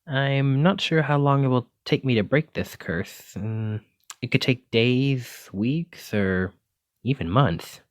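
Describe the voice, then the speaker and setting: A man's voice: mid-range voice, slow pace, no echo, studio quality, natural voice. A man voicing a clumsy, kindly wizard in an ASMR roleplay, courteous and apologetic, stammering when flustered. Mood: apologetic, worried. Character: clumsy, kindly wizard bookseller teaching magic. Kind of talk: ASMR roleplay addressed to listener.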